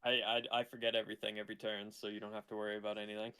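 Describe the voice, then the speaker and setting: A man's voice: lowish voice, completely flat delivery, racing, sharp tone, clean tone, poor audio. A man chatting casually with other players during an online card game. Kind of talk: casual table chat.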